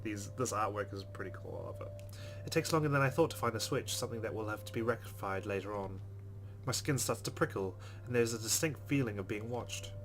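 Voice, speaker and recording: A man hosting a let's play, reading a visual novel aloud with expressive delivery and quick asides. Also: fairly low pitch, slight background noise, low-quality recording. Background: game music.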